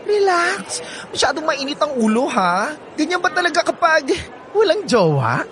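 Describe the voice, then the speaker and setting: A woman's voice: sits high, sing-song, normal speed, fairly bright tone, slight background noise. A woman with a Filipino accent playing a campy, teasing, easygoing sibling in a radio drama, theatrical and playful.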